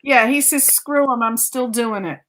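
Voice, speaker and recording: High-voiced woman giving a psychic reading. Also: moderate pace, fairly bright tone, slight background noise. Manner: insistent, repeats phrases, relays messages as quotes. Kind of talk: conversational live mediumship reading.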